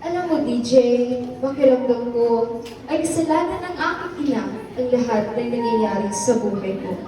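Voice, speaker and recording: A woman's voice: a little high, moderate pace, dark tone, natural voice. A woman playing an eighteen-year-old who narrates her own life story with regret, in scripted radio drama style. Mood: regretful. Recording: echoey hall, distant, music behind.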